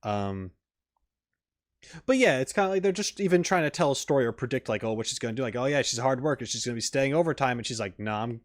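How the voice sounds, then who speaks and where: A man hosts a casual reaction stream in a medium-pitched voice. He comments off the cuff on what he is watching. His talk rambles, wandering into asides as he thinks aloud. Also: expressive, quick pace. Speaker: reaction video host and streamer.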